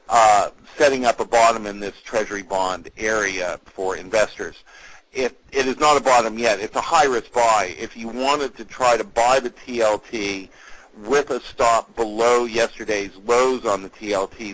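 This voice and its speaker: A man hosting a call as an analyst, giving a semi-scripted market briefing in a measured, businesslike, explanatory way. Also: on the low side, fairly bright tone, rough recording.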